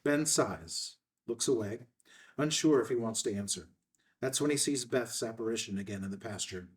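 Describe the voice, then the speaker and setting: Male narrator for a script reading, medium pitch, a little away from the mic. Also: lively intonation, normal speed, distant mic. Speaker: stage-direction narrator. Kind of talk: scripted narration, screenplay table read.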